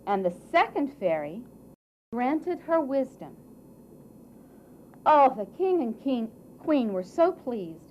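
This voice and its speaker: A woman telling a children's story live in an expressive, theatrical and deliberate way, as a puppet show storyteller. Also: high-pitched. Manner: theatrical, deliberate, coaxing audience questions. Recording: dull, faintly noisy live recording.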